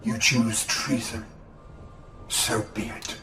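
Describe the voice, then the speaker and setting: An actor playing a zealous, commanding alien leader in a game cinematic, speaking in a grand, declamatory way. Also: male voice, medium pitch, fairly even intonation, slow. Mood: stern, threatening. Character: zealous, commanding Eldar leader obsessed with prophecy. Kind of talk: dramatic game cinematic acting. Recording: echoey, distant, under loud music.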